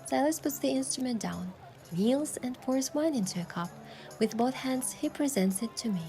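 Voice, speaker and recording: A woman voicing a playful, tender young heroine who narrates her own romance in a game with soft, lyrical storytelling. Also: high voice, expressive, normal speed. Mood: dreamy. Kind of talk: scripted first-person narration and dialogue in romance game. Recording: music behind the voice.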